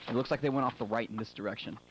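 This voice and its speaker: An actor playing an angry, determined police officer who mutters tensely to himself on an analog horror tape. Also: male voice, mid-range voice, fairly even intonation, rapid-fire, noisy background, natural voice. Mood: grimly determined.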